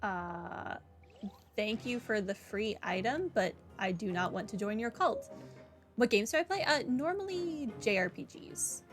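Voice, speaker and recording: A woman's voice: mid-range voice, lively intonation, unhurried. A woman game streamer with a wry manner, casually chatting and reading aloud during a playthrough. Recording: game music behind.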